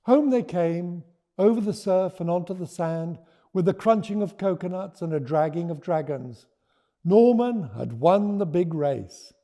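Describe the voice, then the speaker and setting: Creaky, mellow male storyteller. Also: high voice, animated, unhurried. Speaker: author and storyteller. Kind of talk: expressive picture-book read-aloud and informal explanation.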